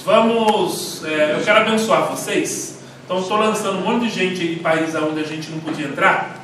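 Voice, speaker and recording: A man with a Brazilian Portuguese accent, telling stories in a rambling, animated way while teaching a church class. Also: high-pitched, normal speed. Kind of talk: informal church class talk. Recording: echoey hall, mic far off, faint noise.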